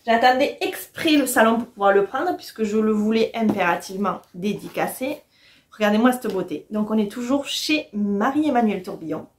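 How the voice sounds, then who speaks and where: A woman's voice: medium pitch, animated, moderate pace. A woman, chatting casually and enthusiastically to the camera as a book vlogger. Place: small furnished room at home, indoors.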